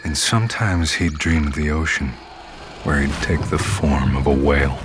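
Very deep, solemn male storyteller. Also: moderate pace, over loud music. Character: brooding male narrator recounting wounds and dark dreams. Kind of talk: dramatic scripted audio fiction narration.

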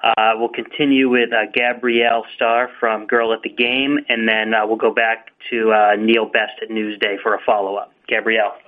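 Flat-toned male call moderator. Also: fairly low pitch, normal speed, few pauses. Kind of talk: procedural announcements introducing questioners. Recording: band-limited conference phone line.

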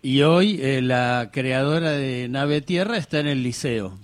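A man co-hosts a radio show in a relaxed, chatty manner. His voice is mid-pitched and even. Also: a little slow. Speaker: radio co-host. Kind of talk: radio interview.

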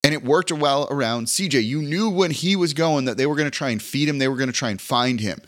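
A hoarse man hosting a solo sports podcast, running through a game recap with brisk, emphatic stat-heavy delivery while fighting off illness. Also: mid-range voice, fairly bright tone.

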